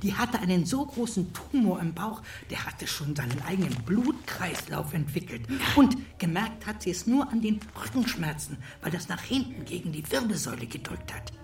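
A woman's voice: lowish voice, very animated, moderate pace, few pauses, darkish tone, distant mic. An actress playing a chatty, matter-of-fact woman swapping gossip in a radio play. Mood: gossipy. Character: chatty woman trading neighbours' illness stories. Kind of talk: naturalistic radio play dialogue. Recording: slightly off mic.

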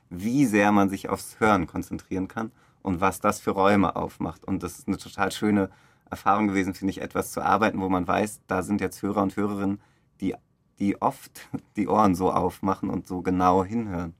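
A man's voice: low voice, no echo. A man giving casual, reflective interview answers as a theatre director, feeling for his phrasing and often correcting himself. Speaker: theatre director interviewed about a radio play.

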